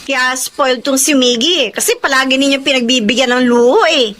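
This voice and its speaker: A woman's voice: sits high, a little fast, fairly bright tone, a little hiss or hum. A woman playing an overburdened young breadwinner daughter in a Filipino radio drama, emotional, weary and firm. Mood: exasperated scolding. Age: young adult. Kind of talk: Tagalog radio drama acting.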